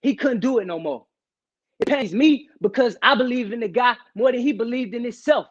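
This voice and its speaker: A man auditioning with an earnest, emphatic dramatic monologue as someone grieving a friend's lost ambition. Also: brisk. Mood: anguished. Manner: emphatic, earnest, high-energy delivery. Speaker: auditioning actor. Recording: dull lo-fi online call.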